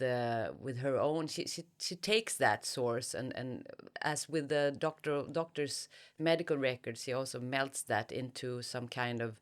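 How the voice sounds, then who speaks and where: A woman with a notably low voice speaks very slowly in a literary interview. She is reflective and hesitant, often pausing to search for the right word as she answers as a guest author.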